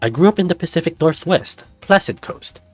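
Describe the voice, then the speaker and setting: A man plays a polite, eager young deer fellow in a visual novel, pitched a little above his usual voice. The tone is husky and close with no room. Faint music sits behind. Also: animated, fairly quick, a little mellow. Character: friendly young adult male deer, polite and earnest.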